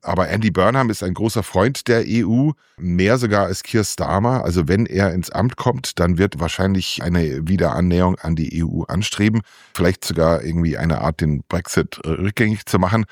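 Low-voiced male correspondent, close dry sound. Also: moderate pace, no room sound. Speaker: political correspondent reporting from London. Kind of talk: unscripted interview answers, political commentary.

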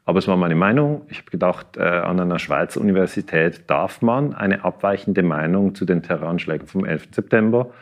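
A middle-aged man, answering interview questions as a historian in a measured, explanatory way, with frequent hesitations and restarts. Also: low voice.

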